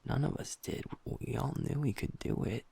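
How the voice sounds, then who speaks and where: A man voicing a gravelly, hushed werewolf boyfriend. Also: mid-range voice, exaggerated voice. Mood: vulnerable, confiding. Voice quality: soft, half-whispered, confiding. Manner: halting, with pauses and restarts. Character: gentle young male werewolf boyfriend, usually upbeat, now downcast. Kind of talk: intimate audio roleplay.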